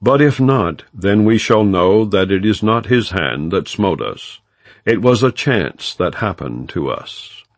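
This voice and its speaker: A man narrating scripture as an audiobook, reading from a script in a measured, formal, non-dramatized way. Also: lowish voice, expressive, no echo.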